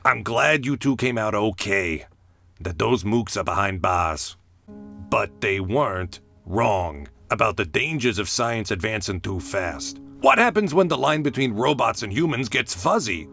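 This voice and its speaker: A man voicing a gruff, skeptical government agent in a comic dub, blunt and slangy. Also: lowish voice, expressive, measured pace, a bit bright, faint music behind, full character voice.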